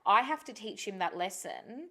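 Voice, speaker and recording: A woman's voice: medium pitch, lively intonation, normal speed, pushed and bright. A woman whose first language is Spanish, co-hosting a casual podcast, thinking aloud candidly and swearing freely. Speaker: podcast co-host.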